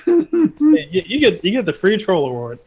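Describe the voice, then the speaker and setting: A man bantering casually in a voice chat, excitable and teasing, on a slightly noisy connection. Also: sits high, moderate pace, highs cut off. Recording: voice chat with noise and clicks.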